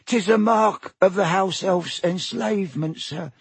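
A squeaky, servile male house elf, all nerves and pleading, in a storybook reading. The voice is high and husky, recorded close and dry. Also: measured pace, no room sound, heavily acted. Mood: anxious. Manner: servile, high-pitched pleading, many "sir"s. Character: timid anxious male house elf servant.

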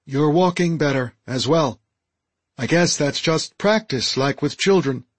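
A man voicing an excitable, frank young furry alien in a squeaky, eager voice for an audiobook story. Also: unhurried, slightly rough, dry recording. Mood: appraising. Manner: eager, blunt, simply worded.

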